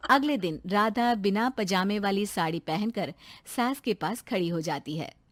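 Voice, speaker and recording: A woman narrating a cartoon story in a brisk, matter-of-fact way. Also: mid-range voice, no room sound, studio quality.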